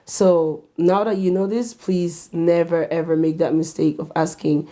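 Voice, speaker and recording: Low-pitched, mellow woman host. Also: fairly even intonation, a little slow. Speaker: talk show host. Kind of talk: scripted-style explainer to camera.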